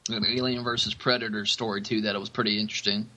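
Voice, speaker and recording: Bright-voiced man in halting podcast chat. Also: on the low side, flat delivery, muffled recording, slight background noise. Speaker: podcast co-host. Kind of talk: casual chat.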